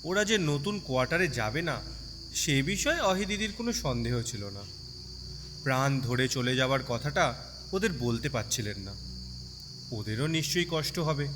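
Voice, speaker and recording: A man narrating a story in an expressive, dramatic storytelling style with sympathy in his delivery. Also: medium pitch, normal speed, long pauses. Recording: long reverb, background night insect noise.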